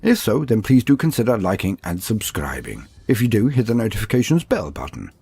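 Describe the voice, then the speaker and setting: Husky, low-voiced man narrating dramatically. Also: huge pitch swings, brisk, dark tone, dry recording. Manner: theatrical, formal delivery. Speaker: narrator of a fantasy lore guide video. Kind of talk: scripted dramatic storytelling narration.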